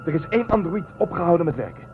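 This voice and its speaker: A fast-talking male commander in a radio play, anxious and protective. He speaks in clipped, urgent phrases at a middling pitch. The sound is thin and phone-like, with clear noise. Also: sing-song. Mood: urgent, worried.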